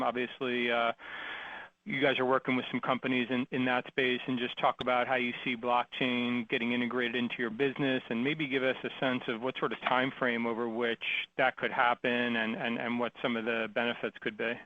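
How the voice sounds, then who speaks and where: Male financial analyst, medium pitch, conversational questioning, slightly muffled lo-fi call audio. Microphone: phone conference call. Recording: dull, lo-fi conference call audio.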